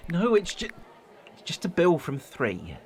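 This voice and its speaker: A man's voice: on the high side, huge pitch swings, slow pace, exaggerated voice. An actor playing an anxious, worn-down young boyfriend in a radio drama, hesitant and stammering as he pleads his case. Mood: defensive. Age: young adult. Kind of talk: naturalistic radio drama acting.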